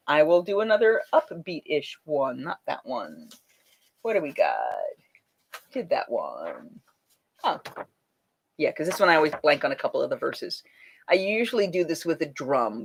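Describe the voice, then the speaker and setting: Chatty woman singer on a livestream. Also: deep voice. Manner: chatty, reads chat aloud, laughs between lines. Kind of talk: casual livestream chat and folk singing. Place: at home.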